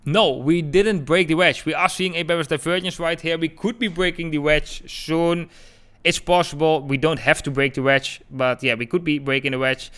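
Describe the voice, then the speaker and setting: Man, Dutch accent, crypto stream host, chatty, crisp bright voice, a bit high. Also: fairly even intonation, moderate pace. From Netherlands. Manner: chatty, keeps calling viewers "guys". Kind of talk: live-stream chart talk, answering chat.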